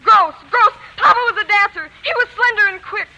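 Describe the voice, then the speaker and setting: A woman's voice: extremely high pitch, a little fast, pushed and bright, heavily acted, poor audio. An actress playing a sensitive, fiercely loyal grieving schoolgirl in an earnest, emotional 1940s radio drama style. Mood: indignant, proud. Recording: vintage 1940s radio, faint noise.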